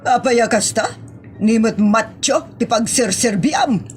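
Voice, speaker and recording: Woman acting a high-pitched exasperated Ilocano woman. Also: measured pace, over music, natural voice. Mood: exasperated scolding. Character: exasperated, complaining Ilocano woman in domestic comedy. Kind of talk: Ilocano comedy radio-style drama acting.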